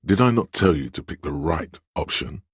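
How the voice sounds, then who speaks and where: An actor playing a commanding, domineering inner voice of authority in a video game, speaking with a very deep, resonant bass in a measured, imperious manner. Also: male voice, lively intonation, slightly rough, dry recording, clean studio sound, exaggerated voice. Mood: stern, scolding. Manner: imperious, measured, scolding and goading. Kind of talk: dramatic game narration, inner-voice lines.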